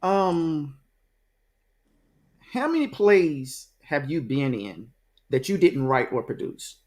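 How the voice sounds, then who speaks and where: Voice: mid-range voice, very animated, slow, darkish tone. An interview host asking loose, chatty, rambling questions.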